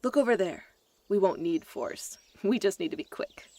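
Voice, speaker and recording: A woman's voice: medium pitch, animated, normal speed, dark tone. An actress playing a haughty, scheming, scornful woman in an audio drama, imperious and sneering, over music. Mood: indignant, determined. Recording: music underneath.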